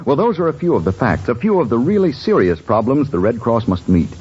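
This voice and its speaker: A man's voice: mid-range voice, very animated, brisk, a little mellow, slight background noise. A man announcing on 1940s radio, reading scripted sponsor copy and credits. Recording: dull old radio broadcast, music.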